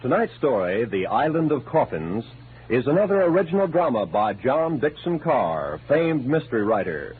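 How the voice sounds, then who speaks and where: An American man announcing in scripted 1940s radio style, formal and measured. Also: medium pitch, very animated, a little hiss or hum. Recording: muffled vintage radio broadcast, music behind.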